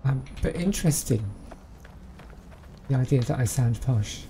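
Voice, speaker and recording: A woman's voice: very deep voice, lively intonation, measured pace, warm tone, a little hiss or hum. A woman with a Southern English accent chatting casually as she plays a game, rambling with plenty of ums. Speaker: game streamer. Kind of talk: casual let's-play commentary.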